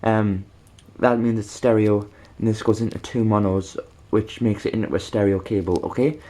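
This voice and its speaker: A man presenting an informal how-to demonstration, halting and rambling, often checking in with the listener. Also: fairly low pitch, steady tone, warm tone. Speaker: video tutorial presenter.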